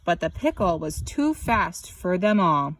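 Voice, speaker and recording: A woman reads a picture book aloud as scripted narration, animated and drawing out words for emphasis. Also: lowish voice, unhurried. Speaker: children's story reader.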